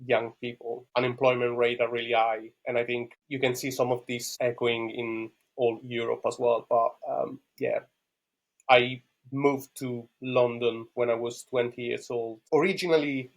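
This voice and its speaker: A man with an Italian accent talks as an interview guest, feeling his way through non-native phrasing. His voice is creaky and sits at an average pitch, with little melodic movement. He sounds thoughtful and conversational. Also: little pitch movement, normal speed, poor audio. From Rome, Italy. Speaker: podcast guest. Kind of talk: conversational interview answers about own life.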